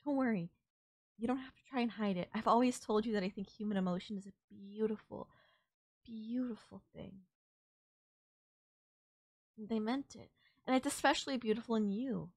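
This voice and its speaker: A woman's voice: on the high side, normal speed, pauses often, no echo. A woman playing a tender, reassuring alien girlfriend in an intimate, bittersweet romantic audio roleplay. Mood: comforting, wistful. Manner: soft-hearted, reassuring, gently teasing, addressing a lover. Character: tender alien girlfriend saying a sad goodbye.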